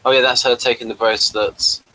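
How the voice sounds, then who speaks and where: A man giving casual, running commentary on a TV episode as a review co-host, rambling and halting, often trailing off mid-sentence. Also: mid-range voice, level tone, sharp tone, poor audio.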